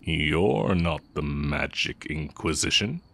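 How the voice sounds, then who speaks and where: A man playing an exiled heretic dark-magic teacher in an intimate audio roleplay, wry and condescending yet fond of the listener. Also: extremely low pitch, takes it slowly, gravelly, a little hiss or hum, heavily acted. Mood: wry, disdainful.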